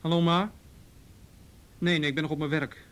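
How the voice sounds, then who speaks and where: A man's voice: a little high, fairly even intonation, normal speed, fairly bright tone, natural voice. A man acting in a radio play as a patient, principled police officer on duty, in naturalistic dialogue. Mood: weary.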